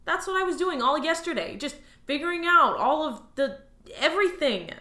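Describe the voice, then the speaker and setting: A woman's voice: high-pitched, expressive, normal speed, sharp tone. A woman playing a lazy, evasive new alien office worker who dodges blame in a comedic audio drama. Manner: evasive, sheepish, flippant. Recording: roomy echo.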